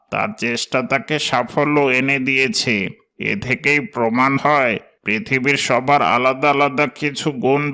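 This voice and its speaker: A man as a crisp, bright-voiced formal headmaster. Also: mid-range voice. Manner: formal, earnest. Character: proud, formal school headmaster addressing pupils. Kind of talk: formal speech in a cartoon story.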